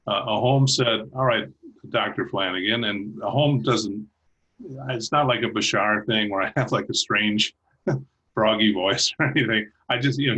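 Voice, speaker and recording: A man speaking as a guest over a video call, talking haltingly with many restarts and light laughs. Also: lowish voice, pushed and bright, breathy edge, low-quality recording. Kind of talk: casual chat.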